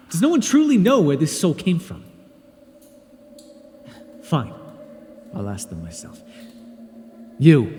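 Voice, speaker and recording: Man doing a scornful underworld god, male, scolding and sarcastic, mellow dark tone, long hall reverb. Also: mid-range voice, a little hiss or hum. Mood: stern. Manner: haughty, scolding, dry sarcasm. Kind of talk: ASMR audio roleplay.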